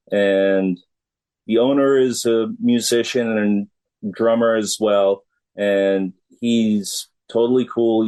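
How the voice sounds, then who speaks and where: A man's voice: fairly low pitch, a little mellow, rough recording. A middle-aged American man, a musician chatting in a laid-back, plain-spoken way as an interview guest. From United States. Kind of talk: casual interview conversation.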